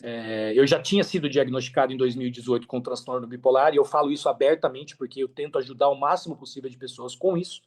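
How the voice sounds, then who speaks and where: A man's voice: mid-range voice, fairly even intonation, quick pace, few pauses, a little mellow. A Brazilian man speaking as a podcast guest, telling his story candidly and conversationally, with slang and frequent tag questions. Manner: talkative, peppers speech with tag questions and slang.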